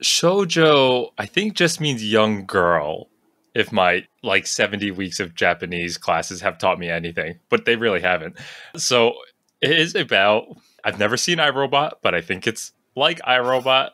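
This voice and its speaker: A man's voice: fairly low pitch, lively intonation, measured pace, sharp tone, no echo. A man from Long Island with a matching accent, hosting a podcast in playful, joking casual chat. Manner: joking, playful, laughs often. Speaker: podcast host.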